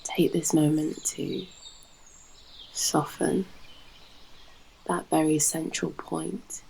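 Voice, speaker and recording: A British woman guides a meditation in a low, dark voice with a very creaky edge. Her delivery is soft and soothing, leaving long pauses between short phrases. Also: steady tone, a little hiss or hum. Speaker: yoga nidra guide and host.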